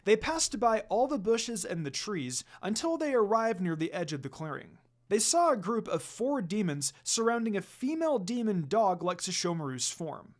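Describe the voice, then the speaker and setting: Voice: medium pitch, big pitch swings, moderate pace, faint music behind. A person reading a fan fiction story aloud as a scripted narration. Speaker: story reader.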